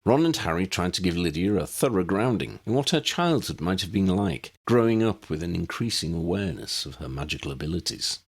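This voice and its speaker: A man's voice: sits low, lively intonation, a little fast, few pauses, slightly rough. A man reading a fantasy story as an audiobook narrator. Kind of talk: scripted fiction narration.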